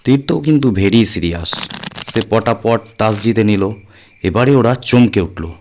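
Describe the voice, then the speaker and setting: A man's voice: fairly low pitch, animated, unhurried, darkish tone, a little hiss or hum. A man narrating a scripted suspense story as an audio storyteller, in a dramatic reading style.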